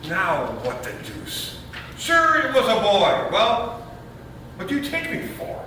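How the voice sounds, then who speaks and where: A man's voice: high voice, wildly swooping pitch, takes it slowly, bright, forceful tone, exaggerated voice. An actor playing a brash, scheming young father in a readers theater comedy, glib and emphatic. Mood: indignant. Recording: echoey hall, distant mic, faint noise.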